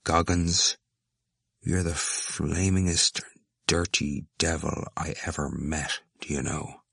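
A man's voice: lowish voice, sing-song, measured pace, fairly bright tone, no room sound, clean studio sound, heavily acted. A man voicing a rude, scornful young male student in an audiobook, blunt and mock-urbane. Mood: vehement. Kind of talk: audiobook character voice.

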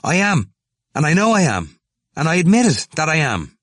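A man voicing an excitable, boastful young male student in an audiobook, eager and insistent, easily wounded. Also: medium pitch, fairly quick, dry recording, clean studio sound, voice pushed up. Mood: despairing, insistent. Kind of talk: audiobook character voice.

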